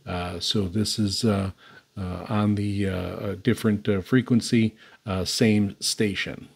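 A man giving an unscripted hands-on demonstration as host, in a halting, hesitant way. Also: fairly low pitch, fairly even intonation, gravelly, darkish tone, husky. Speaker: host demonstrating radio antennas. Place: indoor room beside radio desk.

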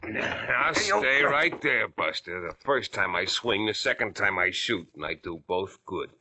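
An actor playing a cocky, menacing American crook in an old-time radio crime drama, talking in tough slang. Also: male voice, fairly low pitch, animated, unhurried, bright, forceful tone, heavily acted.

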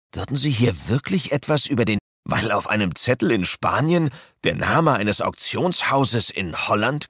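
Husky, animated male storyteller. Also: lowish voice, no room sound, studio quality. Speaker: narrator. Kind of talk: scripted story narration for children's audio drama.

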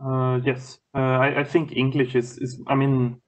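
Halting Swedish-accented man on a video call. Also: mid-range voice, monotone. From Sweden. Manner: halting, many restarts and repeated words. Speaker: guest lecturer.

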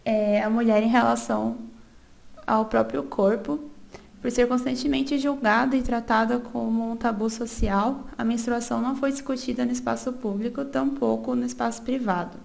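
A woman's voice: fairly high pitch, fairly even intonation, normal speed, gravelly, a little mellow. A young woman from Brazil reading a student research presentation over slides, with small restarts and a filler "é" before each new part. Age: young adult. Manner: reads steadily from notes with small restarts and filler "é".

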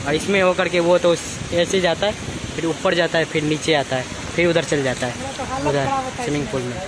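A young man vlogging around an outdoor water park, chatty and excited as he shows the slides. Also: a little high, normal speed, over a noisy crowd, rough recording. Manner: excited, chatty tour-guide talk. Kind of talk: casual travel vlog. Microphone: phone held by hand. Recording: phone recording with clear background noise.

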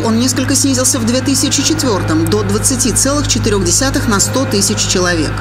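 A woman's voice: mid-range voice, close to an everyday voice. A woman playing an impersonal, formal radio newsreader reading a bulletin in an audio drama. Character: impersonal female radio newsreader with an ominous message. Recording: music over the voice.

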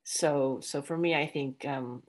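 Woman, young adult, deep voice, casual, filler-heavy, video call from home. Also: steady tone, a little slow. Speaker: guest author. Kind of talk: casual conversation. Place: home room, indoors.

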